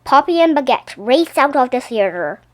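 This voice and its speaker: A woman narrating a children's story in an animated, theatrical read-aloud style. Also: high-pitched, moderate pace, no echo. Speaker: storybook reader.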